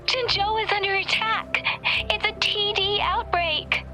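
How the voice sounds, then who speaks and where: A woman's voice: very high voice, steady tone, a little slow, over music. A woman playing an urgent young female messenger warning of danger in an anime game. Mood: urgent, distressed.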